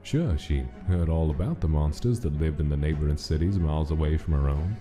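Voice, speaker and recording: A man's voice: very deep voice, brisk. A man reading scripted story narration as the narrator of a drama. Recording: music clearly under the narration.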